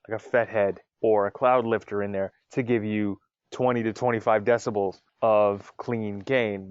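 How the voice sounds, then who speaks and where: A man's voice: lowish voice, no echo, dull audio. A man hosting his own podcast, giving his opinions in a casual, chatty way with many asides. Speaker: podcast host. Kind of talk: casual solo podcast talk.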